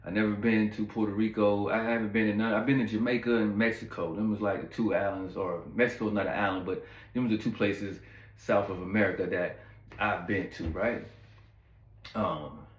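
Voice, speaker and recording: A man with a fairly low voice talks loosely to his viewers, drifting through a personal story with lots of fillers and side remarks. His voice rings a little in a roomy, echoey space. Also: steady tone, normal speed. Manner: rambling, laid-back, heavy on fillers and asides to viewers. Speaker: channel host telling a story. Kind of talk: casual storytime monologue.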